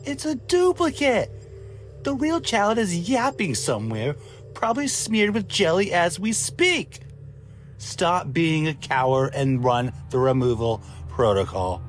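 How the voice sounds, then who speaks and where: A man playing a haughty, callous, excitable scientist in a radio drama, speaking in a pompous, theatrical and disdainful way. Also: fairly high pitch, unhurried, soft background music. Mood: scornful, argumentative.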